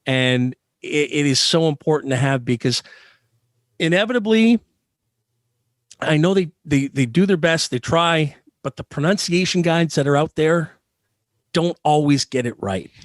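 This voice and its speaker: Higher-pitched Canadian man, close dry sound. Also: unhurried, dry recording. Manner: earnest, emphatic, repeats phrases for stress. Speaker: sports arena PA announcer being interviewed. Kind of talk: conversational interview answers with anecdotes.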